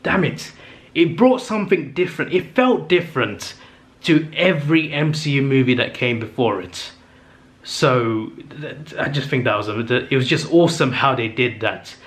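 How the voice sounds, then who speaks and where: A young man hosting his own channel, chatting enthusiastically through a casual ranking, full of fillers and quick self-corrections, his voice a little strained as he says he is losing it. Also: mid-range voice, expressive, a bit bright. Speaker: movie review channel host. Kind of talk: casual ranking monologue to camera.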